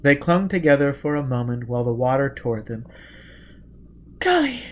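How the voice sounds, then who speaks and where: A man reading an adventure story aloud as dramatic, deliberate, intense narration. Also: medium pitch, a little hiss or hum. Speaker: audiobook narrator.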